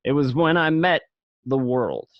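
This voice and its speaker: A man's voice: mid-range voice, lively intonation, a little slow, no room sound, lightly acted. A man playing a nostalgic gangster who narrates his youth among mobsters in an amateur radio play. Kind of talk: first-person dramatic narration. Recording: dry, slightly dull home recording.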